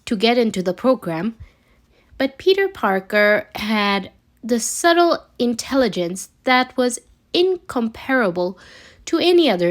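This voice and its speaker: A woman reading a scripted story aloud as an amateur narrator, expressive but a little halting, with occasional stumbles. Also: on the high side. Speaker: amateur fan fiction reader.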